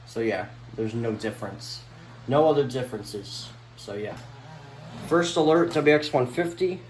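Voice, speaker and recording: A man's voice: medium pitch, lively intonation, unhurried. Male vlogger, casual and unscripted, mildly exasperated rambler. Roomy echo, slight background noise. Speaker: vlogger showing a weather radio collection. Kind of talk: casual unscripted show-and-tell. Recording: echoey room with faint background noise.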